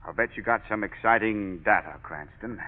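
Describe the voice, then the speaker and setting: An actor playing a jovial older police commissioner in 1940s radio acting. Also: male voice, lowish voice, moderate pace, close to an everyday voice. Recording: tinny vintage radio broadcast.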